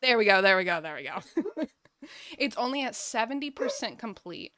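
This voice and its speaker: A woman's voice: a little high, lively intonation, moderate pace, a bit bright. A woman doing casual live gaming commentary, chatting and thinking aloud as she plays. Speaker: game streamer.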